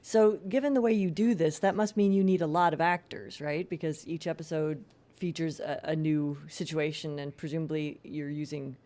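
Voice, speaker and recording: A woman with a slightly low-pitched voice conducts a casual interview. She puts questions conversationally and answers with brief, easy affirmations. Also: steady tone, moderate pace, few pauses, dark tone.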